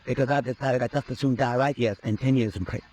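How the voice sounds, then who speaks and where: A man's voice: mid-range voice, steady tone, quick pace, a little mellow, lightly acted. A man playing an edgy, cornered gun smuggler. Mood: scheming. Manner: edgy, desperate, voice rising under pressure. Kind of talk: 1950s radio drama acting.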